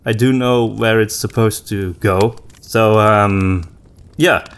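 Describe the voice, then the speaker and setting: A man with a fairly low voice hosts a relaxed, conversational podcast. He thinks out loud, leaving pauses as he puts his thoughts together. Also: clean tone. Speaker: podcast host. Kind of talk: casual conversational podcast chat.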